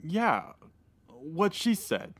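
An actor playing a hungover, laconic murder suspect in a comedic radio drama. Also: male voice, a little high, sing-song, very slow, fairly bright tone, no room sound, full character voice. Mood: groggy, evasive.

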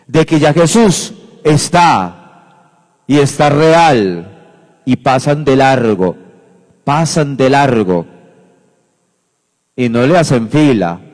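Male priest, 50s, slow deliberate homily, repeats for emphasis, handheld mic, church reverb. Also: a little high, a little mellow. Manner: unhurried, emphatic, repeats phrases, gestures broadly. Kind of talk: homily at Mass. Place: church sanctuary at the lectern. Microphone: handheld microphone.

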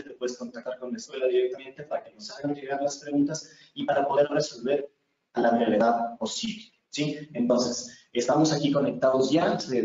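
A young man with an Ecuadorian accent gives an earnest online talk and repeats key words for stress. His voice is mellow and sits some way off the mic. A strong room echo and a dull, muffled sound fill the recording. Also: mid-range voice, distant mic. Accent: Ecuadorian Spanish. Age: 20s. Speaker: communication instructor. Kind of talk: webinar lecture.

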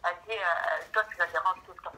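A woman's voice: on the low side, fast talker, pushed and bright. A middle-aged woman talking casually on a phone call, heard through a speakerphone. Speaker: mother taking a phone call. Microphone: phone loudspeaker, re-recorded by camera. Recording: noisy speakerphone call picked up in room.

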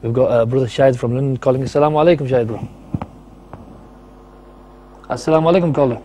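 A young male presenter hosts a live TV studio show, talking quickly and informally. His voice is mellow and warm in tone. He sounds eager with callers and guests alike. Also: mid-range voice. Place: TV studio set.

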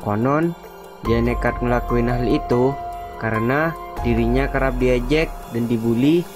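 A man's voice: mid-range voice, unhurried, music in the background, natural voice. A man voicing a young college student in a cartoon, telling rumors in casual, slangy Jakarta-accented Indonesian. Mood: ominous gossip. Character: young male college student spreading campus ghost rumors. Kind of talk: animated cartoon dialogue.

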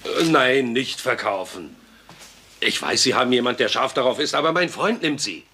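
An actor playing an easygoing working-class husband and loyal buddy, casual and chummy, in a dubbed German sitcom. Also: male voice, medium pitch, animated, moderate pace, vocal fry, sharp tone. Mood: brisk.

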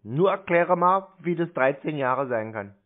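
A man's voice: a little high, expressive, unhurried. A man in a confrontational phone conversation, blunt and insistent, repeating himself for emphasis. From Germany. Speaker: scam baiter confronting a phone scammer. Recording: narrow tinny phone-like sound.

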